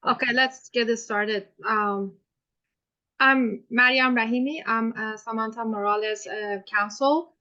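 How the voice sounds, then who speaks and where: A woman questions a client in a mock hearing, pausing often and restarting her sentences. Her voice sits fairly high. The recording is dull and muffled. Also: pushed and bright. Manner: hesitant, many fillers and restarts. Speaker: student playing counsel in a mock hearing.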